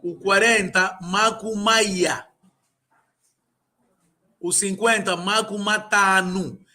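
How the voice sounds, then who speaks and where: A man's voice: high voice, steady tone, extremely slow, pushed and bright. A man tutoring a student in an informal lesson, patiently repeating and drilling words. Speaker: language tutor.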